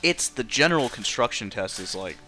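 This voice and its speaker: A male streamer with a bright, forceful voice chats casually. He talks loosely and haltingly, with plenty of slang. Music plays faintly in the background. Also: mid-range voice, lively intonation. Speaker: game streamer. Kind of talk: casual chat.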